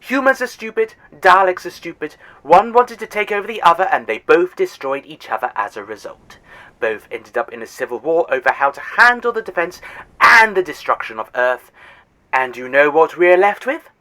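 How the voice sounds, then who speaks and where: Man voicing a condescending alien, sarcastic, lively swooping delivery, audio drama. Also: on the high side, normal speed. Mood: scornful. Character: arrogant sarcastic male alien Eternal guide.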